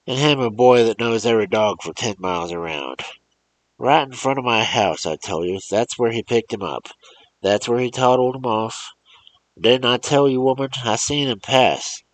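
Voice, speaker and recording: A man voicing an angry old country man who yells accusations in rough rural grammar. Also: deep voice, lively intonation, moderate pace, poor audio. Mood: angry accusing.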